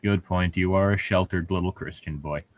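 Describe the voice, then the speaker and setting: A man casually co-hosting a comedic fan fiction reading, irreverent and amused, mixing mock dramatic reading with excitable banter. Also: sits low, normal speed. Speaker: co-host reading fan fiction aloud with commentary.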